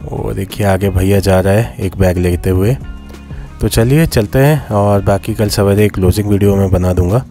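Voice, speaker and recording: A man in his forties talks in a relaxed, chatty way, with lots of filler sounds, as he gives travel updates into a handheld camera outdoors. His voice sits a little low. Clear music plays under him. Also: moderate pace. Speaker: travel vlogger. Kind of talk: casual travel vlog updates. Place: outdoors on railway platforms and a garden. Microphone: handheld camera selfie framing.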